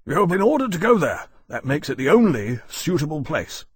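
A man voicing a domineering senior military commander in an audiobook, speaking in a sharp, curt, authoritative way. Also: medium pitch, sing-song, moderate pace, creaky, slightly rough, no echo, studio quality, voice pushed up, exaggerated voice.

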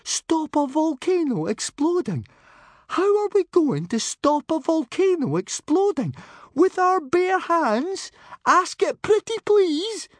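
A man voicing a nervous, sarcastic young Viking boy in a squeaky, incredulous squeal for a storybook. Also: sing-song, slow pace, no room sound, exaggerated voice. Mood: incredulous panic.

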